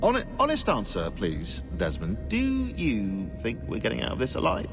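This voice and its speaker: Wry, lively-voiced British bookish researcher. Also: male voice, medium pitch, normal speed, gravelly, over music. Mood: resigned. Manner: wry, wordy, precise. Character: dry, bookish, sardonic British researcher man. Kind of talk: video game cutscene acting.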